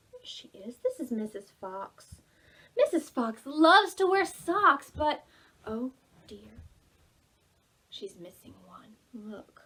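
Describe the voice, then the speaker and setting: Female children's storytime reader, very lively intonation, unhurried delivery, playful and talking straight to little ones. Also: medium pitch, a little hiss or hum, poor audio. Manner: expressive, playful, speaking directly to young children. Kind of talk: animated preschool storytime read-aloud.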